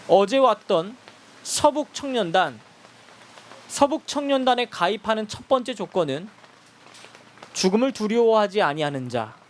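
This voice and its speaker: A man giving a formal, exhortatory rally announcement, speaking solemnly and emphatically in short, deliberate phrases. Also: high voice.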